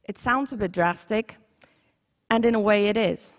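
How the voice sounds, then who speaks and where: A woman's voice: medium pitch, normal speed. Icelandic woman speaking in a reverberant hall. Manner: earnest, conversational, with self-corrections. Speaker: teacher giving a short conference talk. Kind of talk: conference talk.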